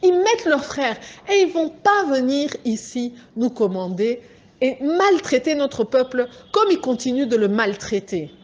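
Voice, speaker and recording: A woman activist speaks with a Congolese French accent in a high voice, addressing a group with urgent, insistent force. She repeats her key points and presses her listeners to act. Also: sing-song, measured pace, darkish tone, dull audio. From Democratic Republic of the Congo. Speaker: political activist. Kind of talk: impassioned political address to a group.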